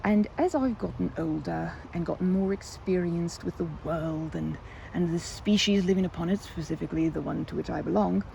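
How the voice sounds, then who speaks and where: A woman's voice: fairly low pitch, moderate pace, gravelly, warm tone, slight background noise, low-quality recording. A woman playing a timid, nervous young woman in an ASMR roleplay, stammering, repeating words and rambling in quirky, formal phrasing. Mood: awkward, earnest. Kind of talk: ASMR roleplay, one-sided audio drama.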